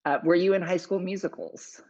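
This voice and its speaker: A man hosting an interview show, speaking in a measured, encouraging way as he asks his guest questions. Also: high-pitched, steady tone, darkish tone. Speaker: podcast host. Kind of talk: conversational interview. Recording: dull lo-fi audio.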